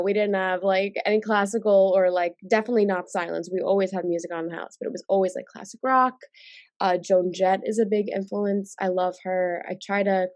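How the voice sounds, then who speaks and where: A woman's voice: medium pitch, a little mellow, no echo. Congested young woman, dry sound. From New York. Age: 20s. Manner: chatty, frequent fillers and restarts. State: congested with a cold. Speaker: singer-songwriter guest. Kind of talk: casual interview chat over call.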